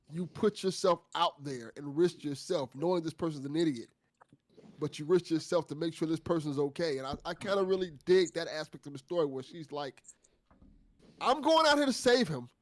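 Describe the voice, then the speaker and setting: A man's voice: fairly high pitch, darkish tone. A man co-hosting a casual discussion, talking in a reflective, conversational and appreciative way. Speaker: after-show co-host.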